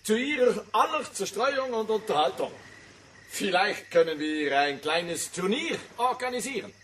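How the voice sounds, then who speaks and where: An eager hotel host in a radio play. He announces ceremoniously in a high voice, from a distance with faint ambient noise. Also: lively intonation, a little slow, a bit bright. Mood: festive, formal. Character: formal, eager hotel host addressing guests. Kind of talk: public announcement in radio drama.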